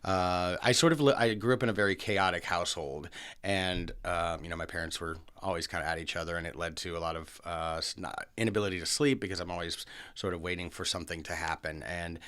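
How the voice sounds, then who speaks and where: A man with a deep voice and a bright, forceful edge talks casually as a guest in a studio. He is curious and a little self-deprecating, and he often stops and restarts his sentences. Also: fairly even intonation, quick pace, clear, resonant tone. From Louisiana. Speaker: podcast guest, local activist. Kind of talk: casual conversation. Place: podcast studio. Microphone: studio microphone.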